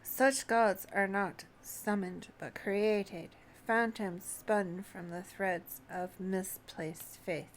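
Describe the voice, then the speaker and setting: A woman's voice: mid-range voice, fairly even intonation, a little hiss or hum, close to an everyday voice, poor audio. A woman voicing an ancient, scornful and world-weary male dragon from a fantasy game, reading solemnly in archaic language. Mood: grave, lecturing. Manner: solemn, measured, archaic diction. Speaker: streamer reading out translated game dialogue. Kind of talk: archaic fantasy dialogue read aloud.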